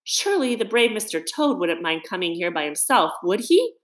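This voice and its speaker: A woman voicing a frightened, exhausted little male mole in a storybook, with a feeble, high, trembling voice. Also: big pitch swings, moderate pace, natural voice. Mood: timidly questioning. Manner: trembling, plaintive. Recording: roomy, echoing reading.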